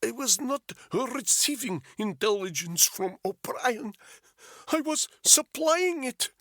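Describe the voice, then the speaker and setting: A man voicing a desperate male Russian captive who pleads and shouts in pain, with a thick Russian accent. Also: very high-pitched, expressive, slow pace, no room sound, clean studio sound, pitched above the performer's own voice, heavily acted. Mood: frantic.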